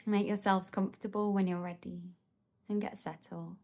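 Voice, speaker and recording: Woman, meditation teacher, gentle and soothing delivery, unhurried phrasing, mid-range pitch. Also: little pitch movement. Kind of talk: guided meditation narration.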